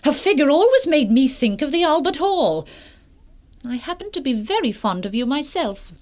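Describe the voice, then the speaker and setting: An actress playing a high-strung, melodramatic wife in a vintage radio drama, speaking in breathless, halting repetitions. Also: female voice, very animated, a little mellow. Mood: teasing, catty.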